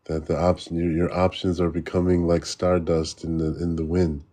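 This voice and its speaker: A man's voice: low voice, little pitch movement, moderate pace, mellow. A man hosting a casual spiritual chat, rambling and stammering, often breaking into laughter. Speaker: host.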